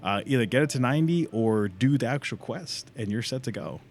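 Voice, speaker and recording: A man doing casual livestream commentary on a game, informal and sweary, thinking out loud as he plays. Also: mid-range voice, lively intonation, fairly quick, a little hiss or hum. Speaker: live game streamer.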